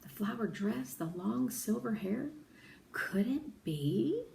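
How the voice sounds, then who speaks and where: Slow-paced woman reading a children's book aloud. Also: fairly high pitch, expressive, vocal fry, a little mellow. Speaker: read-aloud narrator. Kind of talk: expressive children's audiobook narration. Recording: roomy echo, faint background noise.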